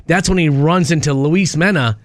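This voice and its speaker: Higher-voiced man, casual radio host. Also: moderate pace, dry recording, clean studio sound. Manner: informal, conversational storytelling. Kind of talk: casual radio talk, telling a news story.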